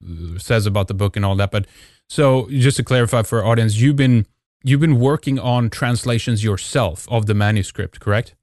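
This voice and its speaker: Guy hosting a chat show, lowish voice, dry close sound. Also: moderate pace, darkish tone, dry recording. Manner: casual, talks to the audience directly. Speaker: host. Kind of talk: interview questions.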